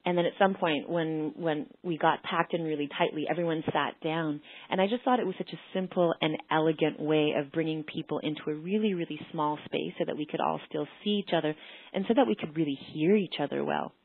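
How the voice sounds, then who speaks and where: Woman, low pitch, earnest informal presenter, rephrases often, dry phone-like online call audio. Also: brisk, slightly rough, no echo. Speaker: panelist presenting.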